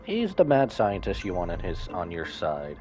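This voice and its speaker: A man's voice: deep voice, huge pitch swings, normal speed, a little mellow. A man giving casual live commentary as a streamer while reacting to a game story. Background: game music.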